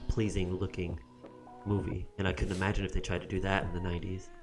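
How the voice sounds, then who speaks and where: A man's voice: low voice, level tone, quick pace, music in the background. A man co-hosting a podcast, talking casually and thinking aloud with frequent ums. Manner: thoughtful and rambling, with many ums. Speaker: podcast co-host. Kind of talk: casual chat.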